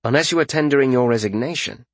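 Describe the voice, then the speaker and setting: A man's voice: medium pitch, fast talker, no room sound, voice pushed up, close to an everyday voice. Man playing a cool, commanding young king. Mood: calm authority. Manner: calm, clipped, matter-of-fact. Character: cool, commanding male warrior king, unflappable.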